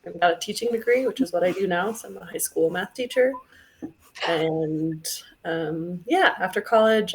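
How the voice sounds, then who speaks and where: A woman's voice: medium pitch, rough recording. A woman in her thirties from Germany, introducing herself as a podcast guest in a chatty way with many fillers. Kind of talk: casual self-introduction. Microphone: video call.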